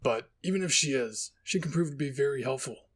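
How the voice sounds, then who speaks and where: A man voicing a stern, gruff male military commander in an anime story, curt and commanding. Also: medium pitch, animated, fairly quick. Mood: calm, matter-of-fact.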